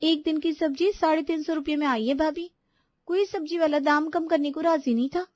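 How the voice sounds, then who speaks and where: A dutiful young sister-in-law of a household tale , acted by a woman. Her voice is very high, and she defends herself with indignant explanations. The sound is dry. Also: fairly even intonation, moderate pace, darkish tone, no echo, close to an everyday voice. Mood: explaining.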